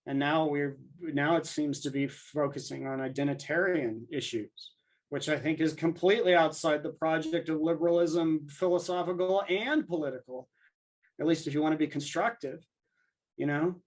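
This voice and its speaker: A man's voice: a little high, steady tone, normal speed. A man speaking as a podcast guest, thinking aloud in a conversational, discursive way and voicing imagined exchanges to make his arguments. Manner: discursive, argues through imagined dialogue.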